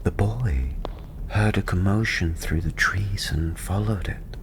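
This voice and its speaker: A male storyteller speaks in a low, hushed voice that often slips into a breathy whisper. He narrates fantasy at a very slow, soothing pace. A steady ambient soundscape sits clearly behind his soft ASMR delivery. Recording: steady ambient background noise.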